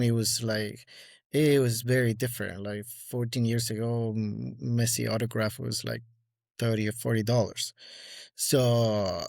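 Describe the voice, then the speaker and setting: A man's voice: medium pitch, vocal fry, dry recording, clean studio sound. A man in his forties with an Argentine accent, a guest speaking in a casual interview with many fillers and restarts. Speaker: guest collector.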